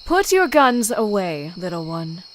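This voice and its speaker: A woman's voice: medium pitch, sing-song, measured pace, rough recording. An actress playing a proud, threatening warrior woman in a motion comic, with a commanding manner. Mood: imperious, threatening. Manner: imperious, commanding.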